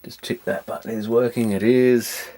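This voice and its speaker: Male repair-video presenter, medium pitch, matter-of-fact and rambling, casual think-aloud workbench commentary. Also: steady tone, moderate pace, warm tone.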